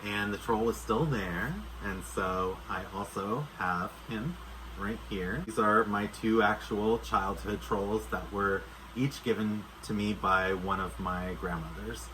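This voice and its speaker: A man's voice: fairly low pitch, steady tone, takes it slowly, a bit bright, slight background noise, low-quality recording. A middle-aged man chatting casually to camera in a sincere, enthusiastic, rambling way. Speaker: doll maker and vlogger.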